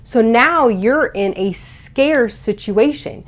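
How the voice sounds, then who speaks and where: A woman with a very lively, swooping pitch hosts storytime for young children. She explains and reads aloud expressively, leaning on key words and drawing them out. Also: takes it slowly. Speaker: host of a children's storytime show.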